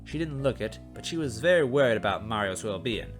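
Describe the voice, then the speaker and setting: A man's voice: medium pitch, animated, a little fast, soft background music. A man reading a story aloud as an amateur host, with casual, self-deprecating asides.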